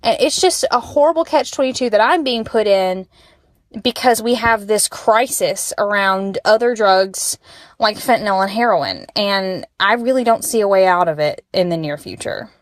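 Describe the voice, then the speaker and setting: A woman's voice: mid-range voice, moderate pace, no room sound. A young woman from Alabama leaving a conversational listener voicemail, explaining her personal experience candidly. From Alabama, United States. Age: young adult. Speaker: listener calling in. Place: voicemail message recorded for a podcast.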